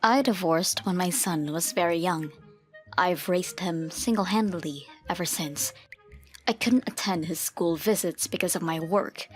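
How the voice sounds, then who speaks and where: An actress plays a self-sacrificing single mom telling her story in a manga dub. The woman's voice is on the low side, gentle and earnest. Music plays underneath. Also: natural voice.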